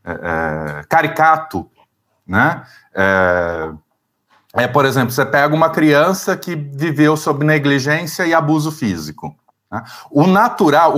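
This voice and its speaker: A man, a therapist, explaining at length in conversation, pausing often to search for words. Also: a little high, fairly bright tone. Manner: thoughtful, searching for words, frequent fillers.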